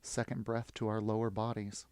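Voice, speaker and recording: Low-voiced man guiding a meditation. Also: little pitch movement, darkish tone, clear, resonant tone, no room sound. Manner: soothing, encouraging, with long pauses. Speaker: yoga nidra guide. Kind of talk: guided meditation, spoken slowly in short phrases.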